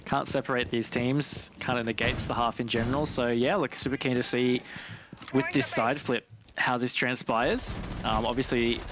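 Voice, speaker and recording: A man's voice: medium pitch, noisy background. A man commentating live on an esports match in an analytical style. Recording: game gunfire and clicks behind. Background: video game gunfire and clicks.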